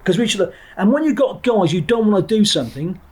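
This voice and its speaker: A man's voice: high-pitched, sing-song, fast, darkish tone, breathy edge. A middle-aged man from England, a former Marine trainer telling his story as a podcast guest in a blunt, digressive way, often restarting his sentences. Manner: blunt and digressive, with frequent restarts and the odd swear word. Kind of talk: reflective interview talk.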